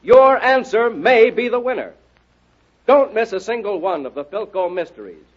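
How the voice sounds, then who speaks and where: A man announcing in 1930s radio style, delivering an emphatic, exhortative promotional pitch. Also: lively intonation, moderate pace. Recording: muffled vintage radio broadcast recording.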